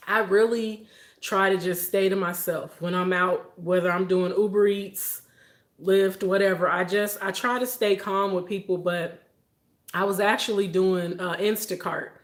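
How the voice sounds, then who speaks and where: A young woman tells a story straight to her viewers. Her voice sits low for a woman, and she talks in an animated way, often breaking into laughter. The sound bounces around an echoey room. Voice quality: deep voice for a woman. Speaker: vlogger.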